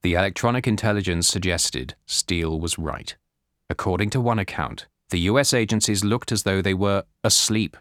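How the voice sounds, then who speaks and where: A man narrates a nonfiction audiobook in a fairly low voice. His reading is scripted, measured and carefully articulated. The sound is dry, as recorded in a studio with little room around it. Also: a bit bright, dry recording.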